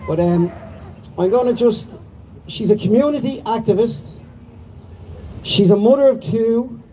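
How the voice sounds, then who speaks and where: A man's voice: sits high, unhurried, dark tone, slight background noise, rough recording. A man acting as compere at a rally, introducing speakers in a loose, chatty way. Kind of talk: casual announcing.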